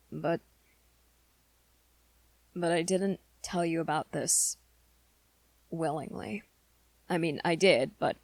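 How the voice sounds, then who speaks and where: Woman as a slow, hesitant lovesick young werewolf. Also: on the low side, vocal fry, no echo, exaggerated voice. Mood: guarded, earnest. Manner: hesitant, halting, soft-spoken confession. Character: earnest, lovesick young male werewolf confiding in a friend. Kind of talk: intimate ASMR roleplay acting.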